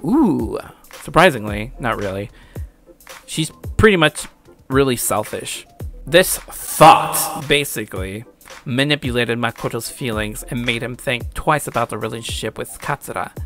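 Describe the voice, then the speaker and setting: A man's voice: mid-range voice, normal speed, fairly bright tone, faint music behind. A young adult man giving casual, opinionated anime commentary in a slangy way with some swearing.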